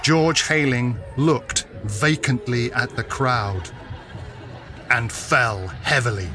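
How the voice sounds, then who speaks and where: A man's voice: medium pitch, takes it slowly, music in the background. A man narrating a serious audio drama in a grave, solemn manner.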